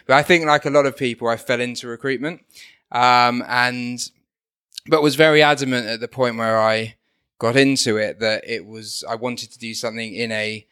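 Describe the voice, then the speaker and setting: A man talking about his work as a podcast guest, pausing often with ums and restarting his sentences. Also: mid-range voice, fairly bright tone, smooth, no room sound, clean studio sound. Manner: hesitant, full of ums and restarts. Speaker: guest, company co-founder. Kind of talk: podcast interview.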